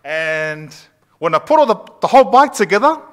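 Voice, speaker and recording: A man's voice: high voice, sing-song, normal speed, a bit bright. A man in his thirties preaching a conversational sermon in a church sanctuary, asking the congregation questions as he goes. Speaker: pastor. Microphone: far camera at back of church.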